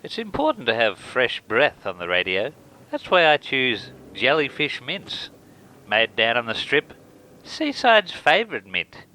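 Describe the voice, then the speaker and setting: A man playing a punchy promotional pitchman in a scripted radio advert. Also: huge pitch swings, unhurried, pushed and bright, a little hiss or hum, exaggerated voice, poor audio. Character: radio advertiser plugging breath mints.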